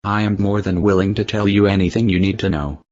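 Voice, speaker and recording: Male interviewee, deep voice, stiff recited answers, slightly muffled recording. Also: fairly even intonation, a little fast, a little mellow, dry recording.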